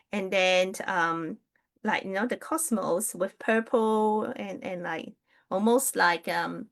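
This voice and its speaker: A woman's voice: medium pitch, a bit bright. A woman from New Zealand chatting casually and digressively on a live stream, greeting her viewers as she goes.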